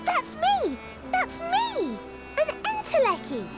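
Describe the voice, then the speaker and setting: Tiny, squeaky, exuberant creature. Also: female voice, huge pitch swings, slow, loud music behind the voice, heavily acted. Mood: gleeful, proud. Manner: chirpy, proud exclamations. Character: tiny, childlike, exuberant female magical creature. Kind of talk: scripted video game cutscene acting.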